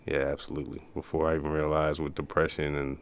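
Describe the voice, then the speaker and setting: A man in his early forties from the eastern United States, telling his own story as an interview guest in a halting, plain-spoken way. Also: very deep voice, monotone, slight background noise. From Baltimore area, United States. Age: early 40s. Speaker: podcast guest, peer support specialist.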